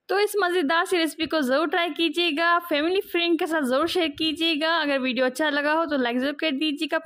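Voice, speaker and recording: A woman host giving a steady, step-by-step voice-over for a cooking video. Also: high voice. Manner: steady instructive, repeats cooking steps. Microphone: voice-over, not seen speaking.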